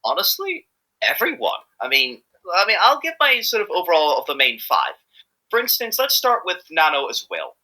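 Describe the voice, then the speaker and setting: A man's voice: high-pitched, huge pitch swings, moderate pace, sharp tone. A man chatting casually as an interviewed amateur voice actor, rambling and often repeating himself hesitantly.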